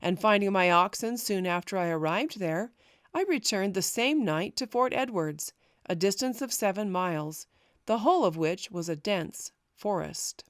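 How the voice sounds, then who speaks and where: A woman narrating a historical memoir as an audiobook, reading in a measured, formal way. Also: mid-range voice, animated, dry recording, studio quality.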